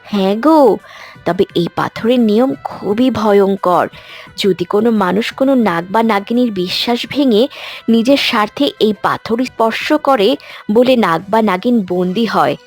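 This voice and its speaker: A woman's voice: mid-range voice, a little slow, faint music behind. A woman playing a trusting, playful young serpent woman in a cartoon story. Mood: grave.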